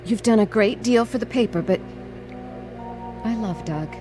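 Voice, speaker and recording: A woman's voice: on the high side, unhurried, dark tone, faint music behind, lightly acted. An actress playing a firm, outspoken woman devoted to her sweetheart in a radio drama. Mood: earnest pleading.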